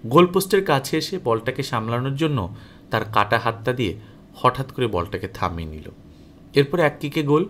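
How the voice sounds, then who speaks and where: A man reading a story aloud as an audiobook narrator, in an expressive, animated way that dramatizes the dialogue. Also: mid-range voice, normal speed, slight background noise. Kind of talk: expressive scripted story narration, first-person as a boy.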